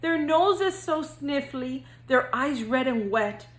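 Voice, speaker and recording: Female school counselor, storybook read-aloud for kindergartners. Fairly high pitch, gentle child-directed delivery, noticeably roomy sound. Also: animated, slow.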